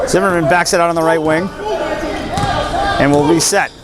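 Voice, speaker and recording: Male play-by-play caller, high voice, excitable and jokey, live in a noisy gym. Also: moderate pace. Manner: excitable, rises in pitch on big plays, light jokes. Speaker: play-by-play announcer. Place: high school gym during a game. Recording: loud gym noise under commentary. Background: crowd and bouncing basketballs.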